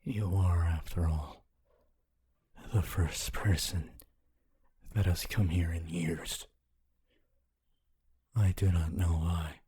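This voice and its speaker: A very deep, heavily breathy male ghost, performed by a man for an intimate ASMR roleplay. He is a centuries-dead scholar, gentle and wistful. His formal phrases come out very slowly, with long pauses. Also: fairly even intonation, full character voice. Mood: soothing, reassuring. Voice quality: low, breathy, husky.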